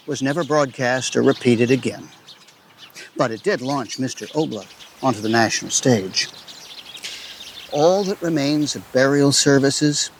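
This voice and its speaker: Male host outdoors with birdsong. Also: mid-range voice. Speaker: host introducing an audio drama. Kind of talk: theatrical spoken introduction. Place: outdoors in a cemetery. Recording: faint outdoor noise, birdsong.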